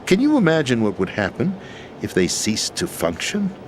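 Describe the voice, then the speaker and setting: An actor playing a disillusioned middle-aged American president in a video game, speaking in a grave, deliberate, theatrical way. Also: male voice, medium pitch, wildly swooping pitch, background noise. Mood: urgent, grave. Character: disillusioned, bitter middle-aged US president, power-hungry and weary. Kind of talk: dramatic video game voice acting. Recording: music behind the voice.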